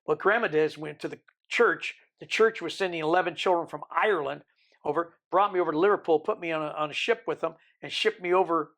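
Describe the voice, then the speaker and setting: A man in his sixties, from the Isle of Man, with a Birmingham accent softened by decades in America, telling his life story in an interview with rambling, joking asides. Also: on the high side, quick pace. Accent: American-tinged Birmingham English. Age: 60s. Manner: rambling, joking, self-deprecating. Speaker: interview guest.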